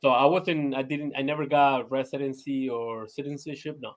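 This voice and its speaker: A man's voice: mid-range voice, fairly even intonation, moderate pace. Laid-back Venezuelan-born man, chatty. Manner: laid-back, laughs often, many "you know" fillers and restarts. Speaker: podcast guest. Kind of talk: casual interview chat.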